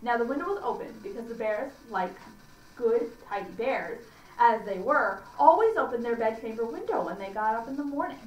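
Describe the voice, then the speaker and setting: A woman reading a children's story aloud for a library audience, deliberately and with small stumbles. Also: fairly high pitch, lively intonation. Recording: echoey room, distant mic, faint noise.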